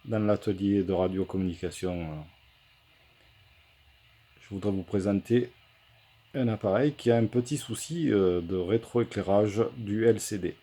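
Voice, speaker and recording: A man presenting an unscripted workshop demonstration as a repair technician, explaining things in a halting, hesitant way. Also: on the low side, warm tone. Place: indoor electronics workshop.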